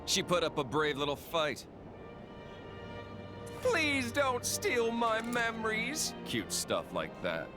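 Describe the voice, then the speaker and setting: A man's voice: high voice, wildly swooping pitch, takes it slowly, long pauses, full character voice. An actor playing a cruel, mocking, theatrical male villain in a video game cutscene. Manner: taunting, sneering, theatrical. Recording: loud background music.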